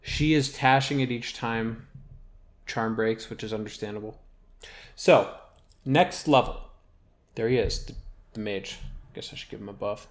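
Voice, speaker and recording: A man's voice: on the low side, a little slow, pauses often. A man giving casual, rambling gameplay commentary as a video host, thinking aloud as he plays.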